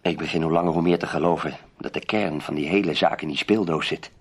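An actor playing a shrewd, composed male investigator in a radio play, reasoning deliberately and with authority. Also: very deep voice, breathy edge. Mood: composed, decisive. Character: shrewd, composed male sleuth and protective companion.

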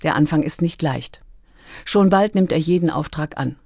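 A woman's voice: deep voice, normal speed, vocal fry, a little mellow, breathy edge, dry recording, clean studio sound. A woman reading scripted documentary narration as the narrator.

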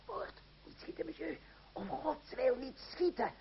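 A man's voice: very high voice, lively intonation, unhurried, vocal fry, heavily acted. An actor playing a timid, fearful ferryman in an old radio play, hesitant and deferential. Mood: terrified pleading. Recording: noisy, dull old radio play recording.

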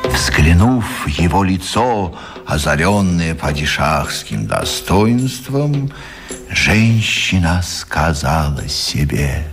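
A man's voice: deep voice, big pitch swings, takes it slowly. A man narrating a classic tale as a storyteller in a scripted radio production. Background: music.

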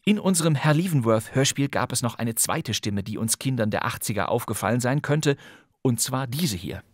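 A middle-aged man hosting a podcast with scripted, playful narration, wry and given to theatrical emphasis and pauses. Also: mid-range voice, lively intonation, no room sound, clean studio sound. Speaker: podcast host. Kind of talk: scripted, playful presentation on radio drama history.

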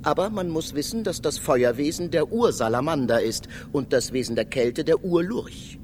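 Actor as oracular philosopher, lively swooping intonation. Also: male voice, fairly high pitch, normal speed, a little hiss or hum, close to an everyday voice. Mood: didactic. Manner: declamatory, lecturing. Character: mysterious philosopher double, male, learned and oracular. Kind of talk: radio drama monologue.

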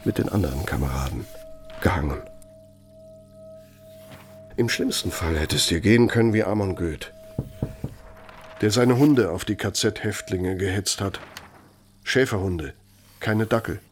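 A man's voice: sits low, animated, a little slow, pauses often, faint music behind. A male actor in a German radio play monologue, playing an embittered, sardonic former Nazi official who threatens with pointed, emphatic phrases. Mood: menacing.

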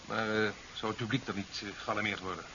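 Brisk, sceptical, forceful president. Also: male voice, on the low side, fairly even intonation. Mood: sceptical, dry. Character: sceptical, career-minded male president, official and businesslike. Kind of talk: 1970s science fiction radio play acting. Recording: dull 1970s radio recording with hiss.

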